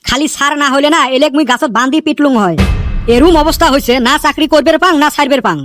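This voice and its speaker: Woman doing grumpy cartoon teacher, high fast scolding, rajbongshi accent, fed up with the pupils. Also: over music, natural voice. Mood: exasperated. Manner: grumbling, scornful, quick to insult pupils. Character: exasperated, scolding village schoolteacher, addressed as sir. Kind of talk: Bengali comedy cartoon dubbing.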